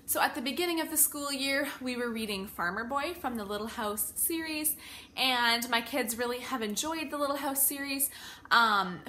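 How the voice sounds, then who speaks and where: A woman's voice: a little high, bright, forceful tone, a little hiss or hum. A woman in her thirties talking informally in a casual, chatty review vlog, working through her opinions conversationally with some hesitation. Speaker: homeschooling parent vlogger.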